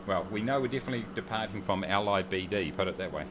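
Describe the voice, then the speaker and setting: A man's voice: lowish voice, expressive, fast, background noise. A man chatting casually on a livestream, joking and reading viewer comments aloud as he flies. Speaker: streamer. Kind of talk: casual livestream chat while flying a sim.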